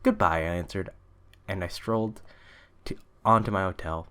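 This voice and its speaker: Low-pitched man narrating. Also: normal speed, a bit bright, clean tone. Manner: stumbles and rereads phrases. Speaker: audiobook reader. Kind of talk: scripted literary narration.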